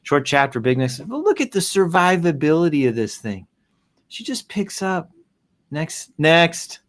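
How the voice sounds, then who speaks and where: A man's voice: fairly high pitch, very animated, measured pace, fairly bright tone. A man hosting a show, casually reading posts aloud and commenting in a playful, rambling way with exaggerated emphasis. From American South. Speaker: show host.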